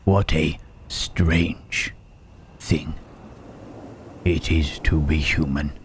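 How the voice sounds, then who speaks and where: A man playing a gentle, melancholy Frankenstein's monster in an intimate ASMR roleplay, speaking haltingly and formally in a husky, breathy rasp. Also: rumbling low, steady tone, a little hiss or hum, exaggerated voice. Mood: sorrowful, wondering. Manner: slow, halting, archaic formal phrasing with long pauses.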